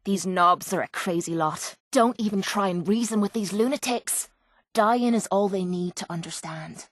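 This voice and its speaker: A woman's voice: lowish voice, dry recording, studio quality, close to an everyday voice. An actress playing a tough, sardonic young female brawler in a video game, with an Irish accent and a brash, earthy way of talking. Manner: brash, sarcastic, earthy slang. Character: tough, foul-mouthed, sardonic female brawler with a violent streak. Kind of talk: video game character voice lines.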